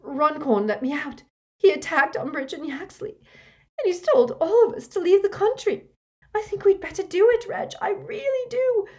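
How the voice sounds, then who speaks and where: A woman voicing a frantic middle-aged witch, an anxious wife pleading in a shrill, breathless rush. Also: normal speed, a little mellow.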